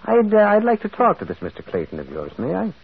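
A male actor playing a gentle, shrewd priest detective in a radio mystery, musing and hesitant, often repeating words. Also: high-pitched, wildly swooping pitch, fast, creaky, husky, full character voice. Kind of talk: vintage radio mystery acting. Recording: dull, muffled old radio recording.

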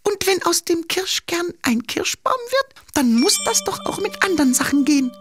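A very high, childlike little king for a children's cartoon. He is cheeky and sure of himself, naive and stubborn in a playful way. Music plays behind him. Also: expressive, normal speed, a bit bright. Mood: eager, confident. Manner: playful, self-assured, naive.

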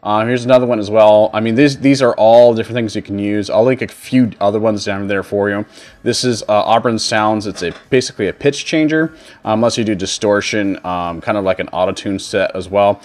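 A man in his thirties with a fairly low voice hosts a casual streaming tutorial. He explains software steps informally, rambling a little as he goes. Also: brisk. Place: indoor home streaming setup.

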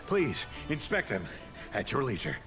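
A flustered, eager warehouse clerk. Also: male voice, mid-range voice, wildly swooping pitch, measured pace. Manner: flustered, anxious, over-eager. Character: nervous male warehouse clerk, eager to please. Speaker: game character. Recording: game audio with music behind.

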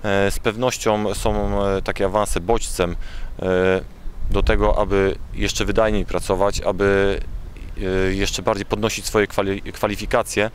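A man in his thirties with a slightly low voice answers an interview outdoors. He speaks formally and haltingly, with faint ambient noise around him. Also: flat delivery. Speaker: fire brigade press officer. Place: outdoors, fire station yard.

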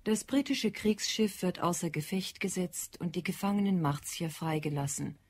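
A woman's voice: lowish voice, normal speed, a little hiss or hum. A woman reading scripted narration that links the scenes of an audio drama.

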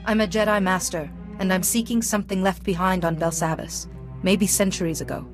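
A woman playing a calm, principled Jedi Master in a video game, composed, measured and formal. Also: mid-range voice, close to an everyday voice. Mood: composed, resolute. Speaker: game protagonist. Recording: music clearly under the voice.

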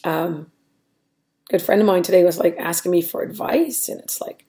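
A middle-aged woman with a raspy voice, talking through her weight-loss diary on a webcam at home, hesitant and full of ums. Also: fairly low pitch, normal speed, mellow. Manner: rambling, hesitant, many ums and restarts. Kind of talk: casual diary vlog. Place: small room at home, indoors. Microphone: webcam at desk.

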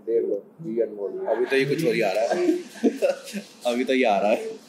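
A man's voice: mid-range voice, expressive, a little slow, heavy background noise. A young man vlogging casually at a cricket stadium, chatty and laughing often, filmed on a handheld phone. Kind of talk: casual vlog chat.

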